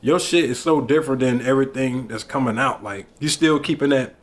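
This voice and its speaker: A man's voice: medium pitch, brisk. 30yo ohio guy hosting a podcast, chill slangy talk, desk mic in a small studio. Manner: laid-back, slangy, hypes up his guest. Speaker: podcast host. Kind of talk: casual interview chat. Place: small studio with backdrop, indoors. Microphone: desk mic on boom arm.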